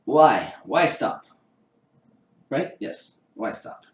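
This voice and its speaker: A man's voice: mid-range voice, sing-song, extremely slow, reverberant. A man chatting casually with live-stream viewers while assembling a kit, laughing often and poking fun at himself. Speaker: livestreamer building a 3D printer kit. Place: home room with a garage downstairs.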